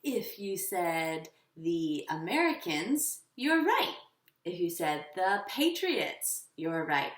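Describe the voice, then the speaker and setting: A woman teaching children, instructive and encouraging, posing questions and pausing for answers. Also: on the low side, very animated. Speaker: elementary school teacher. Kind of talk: children's lesson with questions and pauses. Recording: roomy echo, slightly distant mic.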